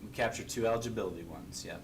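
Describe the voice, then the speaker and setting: A man, a district administrator, explaining options to a school board in a measured way with many fillers. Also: on the low side, recorded from a distance, background noise. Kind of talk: public meeting discussion. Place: school board meeting room.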